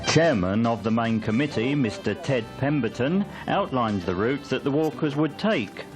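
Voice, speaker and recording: A man narrates a local newsreel from a script. His delivery moves up and down in a lively way, at a middling pitch, with faint music underneath. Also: moderate pace.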